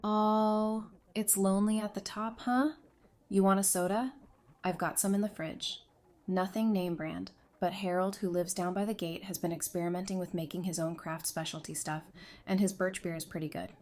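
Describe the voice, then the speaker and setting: A woman's voice: mid-range voice, fairly even intonation, moderate pace, a little mellow, lightly acted. A woman playing a frank, teasing, resilient survivor in audio drama dialogue.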